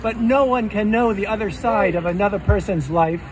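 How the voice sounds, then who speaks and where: A man reading his rhymed poems aloud with a deliberate rhythm on an outdoor festival stage. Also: sits high. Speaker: poet. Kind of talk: poetry reading. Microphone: stand mic on stage, filmed by phone. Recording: noisy outdoor phone recording. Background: outdoor street ambience.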